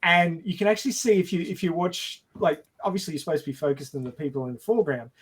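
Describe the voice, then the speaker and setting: A man's voice: a little high, fast, fairly bright tone, husky. A man in a casual film discussion, telling behind-the-scenes anecdotes and acting out quotes as he goes. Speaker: podcast panelist.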